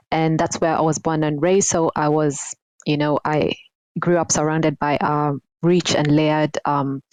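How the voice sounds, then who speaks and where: A woman from Kenya, a guest designer, answering interview questions in a reflective, unhurried way with frequent ums. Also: deep voice, no room sound, poor audio. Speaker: guest, surface pattern designer.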